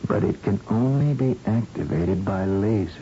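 A man acting an earnest, secretive space scientist in old-time radio style. His voice is on the low side and mellow. The recording is dull and noisy. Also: animated, moderate pace, natural voice. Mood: grave, secretive. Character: earnest, secretive male research scientist on a colonized planet.

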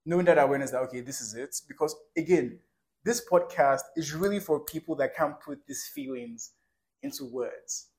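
A man's voice: a little high, animated, normal speed, gravelly, darkish tone. A man co-hosting a podcast, talking earnestly and rambling, often checking that he is understood. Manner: earnest, rambling, keeps asking "do you understand". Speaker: podcast co-host. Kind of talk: casual podcast conversation.

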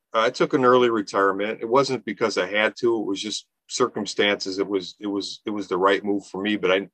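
A man's voice: fairly low pitch, fairly bright tone, no echo, low-quality recording. A man in his fifties, an organization founder, talking earnestly in a casual interview, often restarting his sentences. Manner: earnest, rambling, many restarts and fillers. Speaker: nonprofit founder, podcast guest.

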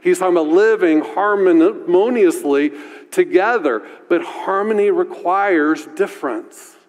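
Deep-voiced man preaching in a hall. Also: measured pace. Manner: conversational, asks "right?" often. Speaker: pastor. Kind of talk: sermon.

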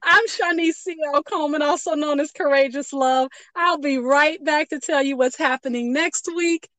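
High-voiced woman hosting a radio show. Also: moderate pace, fairly bright tone, no echo. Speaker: podcast host.

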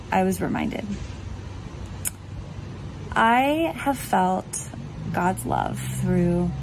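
Slow, measured woman telling a story. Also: medium pitch, a little hiss or hum, rough recording. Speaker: church member giving video testimony. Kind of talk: prepared read testimony.

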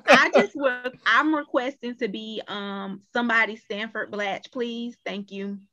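A woman's voice: a little high, measured pace, sharp tone. Emphatic woman on slightly dull call audio. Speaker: guest on a talk show. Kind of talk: casual chat.

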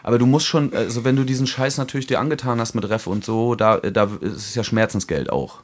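A man's voice: mid-range voice, fairly quick. A man chatting casually as a podcast co-host, with a slangy, blunt and jokey way of talking. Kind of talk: casual chat.

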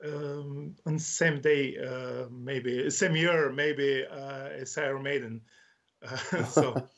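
A man in his forties from Croatia, with a Croatian accent and Croatian as his first language, talking haltingly as an author interviewed, with many fillers and restarts. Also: medium pitch, steady tone, fairly bright tone, muffled recording. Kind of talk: interview guest.

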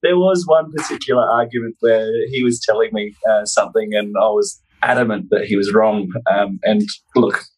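An Australian man in his forties telling casual personal anecdotes as an interview guest, in a halting, self-deprecating way. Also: lowish voice, breathy edge, rough recording. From North Queensland, Australia. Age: 40s.

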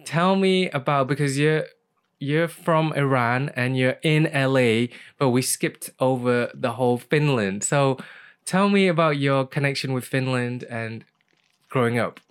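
A man's voice: mid-range voice, unhurried, clear, resonant tone. A man hosting a casual interview, enthusiastic and agreeable, jumping in eagerly. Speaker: interview host.

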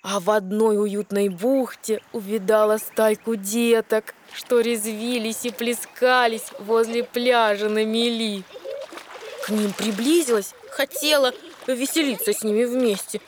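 A woman's voice: on the high side, fairly even intonation, a little slow, a little hiss or hum, lightly acted. A woman playing a young mermaid princess, reciting lyrical verse in an audio fairy tale. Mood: wondering. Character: young mermaid princess sisters recounting sea wonders. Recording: music under the voice.

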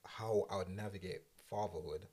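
A male podcast host talks informally with a group. His voice is very low and somewhat rough and husky, and his phrasing is slangy and conversational. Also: moderate pace, warm tone.

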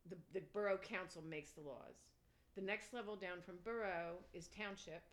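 A woman's voice: lowish voice, normal speed. An older woman from Pennsylvania, a township supervisor, chatting casually in an interview and explaining local government plainly.

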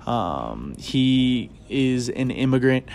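A young man hosting his first podcast in casual chat, earnest and halting, with frequent ums and restarts, recorded in a bookstore. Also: mid-range voice, sing-song, creaky, a little mellow, slight background noise. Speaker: podcast host. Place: bookstore children's section.

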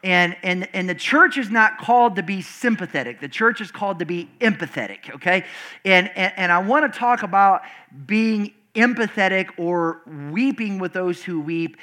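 Screechy, loud preaching man. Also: moderate pace. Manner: animated, swinging from loud and high to low and quiet, many restarts. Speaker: pastor. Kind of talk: sermon with call and response. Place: church service before a congregation.

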